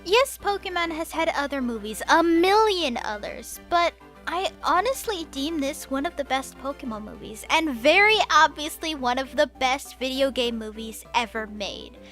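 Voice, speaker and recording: Young woman, high bright voice, bouncy animated delivery, film review voice-over, soft music underneath. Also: normal speed. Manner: enthusiastic and expressive, with casual slang. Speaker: movie reviewer.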